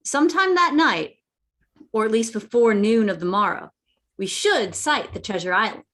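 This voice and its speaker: A woman plays an earnest boy telling his sea adventure in a radio play. The narration is animated, with wide pitch movement. It sits a little on the high side. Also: moderate pace. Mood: earnest, storytelling.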